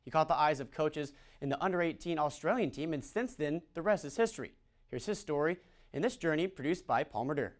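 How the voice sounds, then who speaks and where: Fast-talking young sports anchor. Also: male voice, fairly high pitch, lively intonation. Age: young adult. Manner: enthusiastic, quick. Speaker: studio anchor. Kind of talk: sports show studio chat. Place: TV studio.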